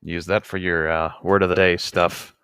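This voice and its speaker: A man's voice: low-pitched, level tone, normal speed, a bit bright, clean tone, no room sound, clean studio sound. A man co-hosting a book read-aloud, switching between narrating a novel and casual, slightly hesitant joking commentary.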